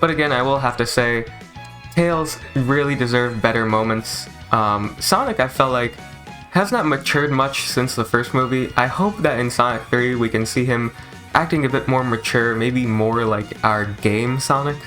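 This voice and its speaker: A man's voice: mid-range voice, moderate pace, sharp tone. A man giving a casual, rambling movie review in an informal, enthusiastic, sweary way, like a YouTuber. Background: video game soundtrack music.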